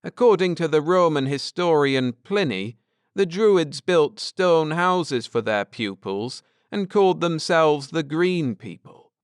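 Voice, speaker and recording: Guy reading as intense sci-fi husband, grave and lecturing, raised pitch, smooth clean voice. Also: expressive, slow pace, no room sound, studio quality. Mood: grave, explaining. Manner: earnest, lecturing, dramatic. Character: grim, intense husband, a spaceship traveller, speculating gravely.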